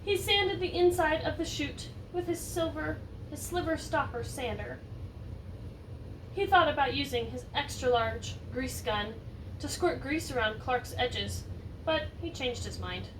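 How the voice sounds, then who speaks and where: A woman reading a picture story aloud like a teacher, animated and chatting to young listeners with questions. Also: high voice, measured pace. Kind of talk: expressive read-aloud story narration with asides to children. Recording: distant mic, roomy background noise.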